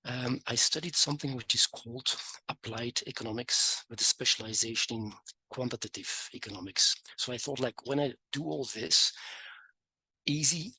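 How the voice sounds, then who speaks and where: A man in his fifties from the Dutch-speaking part of Belgium, with a Flemish accent, telling his story as a guest in a relaxed, casual way with many fillers. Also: mid-range voice, husky, dry recording, poor audio. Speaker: guest economist. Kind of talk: casual interview talk.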